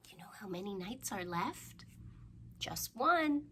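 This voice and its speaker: Female storytime host for young kids: playful, encouraging, animated intonation, unhurried delivery, some background noise. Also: medium pitch. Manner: playful, encouraging, asks listeners to join in. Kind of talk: interactive rhymes and craft talk for young children.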